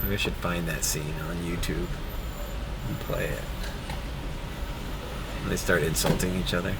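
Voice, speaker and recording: A man's voice: low-pitched, measured pace, gravelly, very noisy. A young man, a rideshare driver, casually telling stories in his car early in the morning, sounding groggy and swearing freely. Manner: swears casually, animated storytelling. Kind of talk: casual driving vlog. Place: inside a car.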